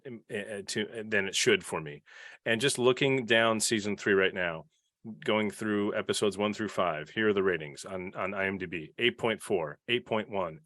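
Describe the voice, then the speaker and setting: A man's voice: lowish voice, fairly bright tone, no echo, clean studio sound. A man speaking earnestly and a little self-deprecatingly in a casual panel discussion, as a podcast panelist.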